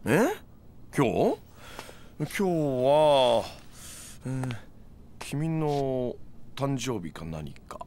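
Slow, laid-back, evasive moneylender. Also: male voice, mid-range voice, very animated, mellow, slight background noise. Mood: hesitant, evasive. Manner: drowsy, sheepish, mumbling excuses. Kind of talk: video game cutscene acting.

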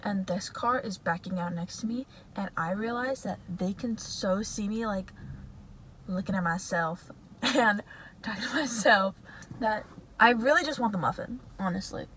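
A woman's voice: mid-range voice, moderate pace, a bit bright, slight background noise, low-quality recording. A young woman chatting casually to her phone camera, bubbly and full of fillers, in her bedroom and then a parked car. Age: young adult. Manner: bubbly, chatty, lots of fillers, laughs often. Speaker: vlogger. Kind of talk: casual vlog chat. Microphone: phone camera at arm's length.

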